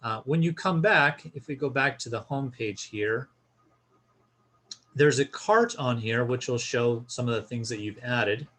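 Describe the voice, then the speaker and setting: Male tutorial presenter, medium pitch, casual and instructional, guiding viewers through an on-screen walkthrough. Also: unhurried, fairly bright tone. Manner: informal, explanatory, step-by-step.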